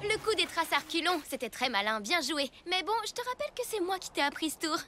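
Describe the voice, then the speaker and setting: Very high-pitched, clever cartoon kid. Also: female voice, sing-song, fast talker. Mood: confident, coaxing. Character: clever, confident, nudging child friend. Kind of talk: French animated cartoon dub acting. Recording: music behind the voice.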